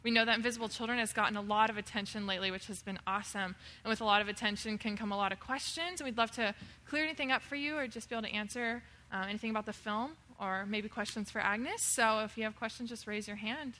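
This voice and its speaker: A woman's voice: a little high, fast talker, few pauses, bright, forceful tone. A young woman hosting an audience question session, upbeat and chatty with lots of fillers. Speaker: event host.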